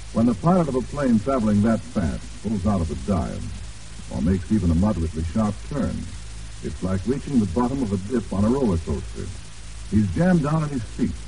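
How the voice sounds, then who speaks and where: An American man announcing on 1940s radio, reading a script in a formal, polished way with deliberate emphasis. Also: on the low side, warm tone, a little hiss or hum. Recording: old 1940s radio broadcast, distant, music.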